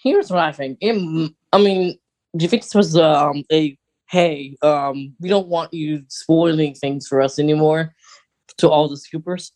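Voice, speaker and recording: Podcast co-host with a mid-pitched voice. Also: a little slow, darkish tone. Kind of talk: casual fan chat and speculation.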